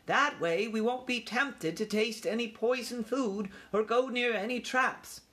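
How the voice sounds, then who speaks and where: A man's voice: extremely high pitch, a little slow, pushed and bright, voice pushed up, full character voice. A man voicing a young boy mouse in a storybook, eager and a little grand. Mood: confident, commanding.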